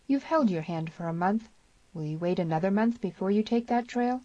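A woman's voice: medium pitch, brisk, warm tone, dry recording, muffled recording, pitched above the performer's own voice, natural voice. A woman voicing a bold, passionate young frontier woman in a western audiobook. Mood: pleading.

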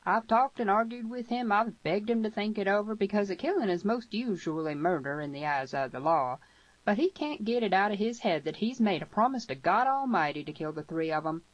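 A woman's voice: medium pitch, lively intonation, a little fast, no echo, pitched above the performer's own voice, natural voice. A woman voicing a tough, blunt old cowboy with folksy, emphatic speech in a western audiobook, on a dull recording. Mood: grave warning. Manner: folksy rustic grammar, emphatic. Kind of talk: western audiobook character reading.

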